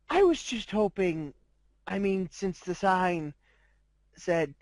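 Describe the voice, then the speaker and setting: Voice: mid-range voice, very animated, extremely slow, darkish tone, dry recording, exaggerated voice. Someone voicing a shy, hesitant young pegasus mare. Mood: timid, polite. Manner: hesitant, polite, stammering with ums. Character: shy timid young female pegasus pony.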